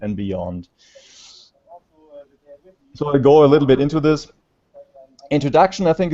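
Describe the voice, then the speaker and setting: A man with a German accent giving a remote conference talk, halting with frequent fillers and restarts. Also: mid-range voice, mellow. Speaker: conference speaker. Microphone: video call. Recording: dull lo-fi call audio.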